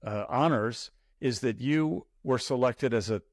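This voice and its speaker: A man hosting an interview show, reading a smooth introduction and asking questions with some hesitation. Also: mid-range voice, measured pace, no room sound, clean studio sound. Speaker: podcast host. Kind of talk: interview host with read intro.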